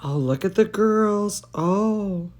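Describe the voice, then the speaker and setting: A woman's voice: mid-range voice, slow pace, a little mellow, a little hiss or hum. A woman hosting a children's bedtime story livestream, gushing and exclaiming as she chats to young viewers between bits of reading. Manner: gushing, exclamatory, addresses children and pet directly. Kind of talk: storybook read-aloud mixed with chatty asides to young viewers.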